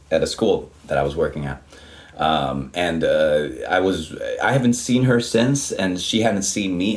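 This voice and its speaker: Very deep-voiced man rambling. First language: Swedish. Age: 30s. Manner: rambling, many restarts and swearing. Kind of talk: casual storytelling.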